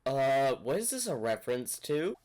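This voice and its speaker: A man's voice: mid-range voice, big pitch swings, normal speed, a little mellow, raised voice, soft background music. A man hosting a reaction video, thinking aloud in casual, rambling commentary.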